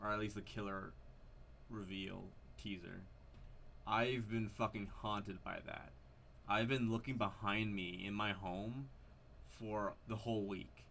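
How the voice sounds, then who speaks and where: A man's voice: on the low side, fairly even intonation, a little slow, gravelly, fairly bright tone, slight background noise. A man giving casual, unscripted game commentary, hesitant and rambling as he thinks aloud. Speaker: video creator commenting on a horror game.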